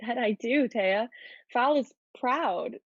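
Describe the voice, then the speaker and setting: A woman's voice: a little high, takes it slowly, slightly rough, no echo, exaggerated voice. A woman playing a happy, self-assured, romantic teenage schoolgirl in a dramatic reading of a period play, in slightly dull sound. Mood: proud, earnest.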